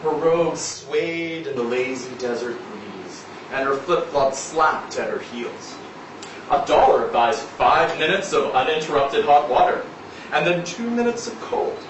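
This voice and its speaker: A man reading a literary short story aloud at a live event in a measured, expressive way. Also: medium pitch. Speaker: story reader. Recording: roomy, distant, noisy live event recording.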